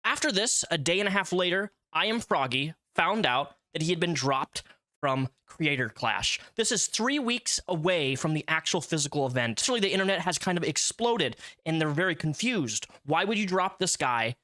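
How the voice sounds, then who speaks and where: Male YouTube commentator, emphatic, bright edge to the voice, dry close sound. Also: a little high, lively intonation, normal speed, no echo. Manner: emphatic, repeats words for stress. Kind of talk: drama commentary video.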